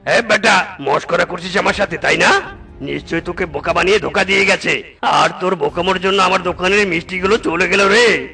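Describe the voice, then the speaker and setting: A man's voice: high voice, a little fast, gravelly, sharp tone, faint music behind, full character voice. A man voicing a bossy, hot-tempered big male shop owner in a cartoon, with a blustering, scolding way of talking. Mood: angry. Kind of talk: Bengali cartoon dubbing.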